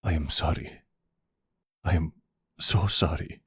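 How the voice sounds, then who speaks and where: A man playing a timid, guilt-ridden young son in an audio roleplay, speaking softly and haltingly, full of apology. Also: extremely low pitch, steady tone, slight background noise, full character voice. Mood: remorseful. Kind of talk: ASMR audio roleplay drama.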